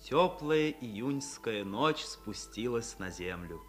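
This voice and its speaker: A man's voice: medium pitch, lively intonation, slow pace. A man reading scripted narration for a 1950s Soviet radio play. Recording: music behind the voice. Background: music.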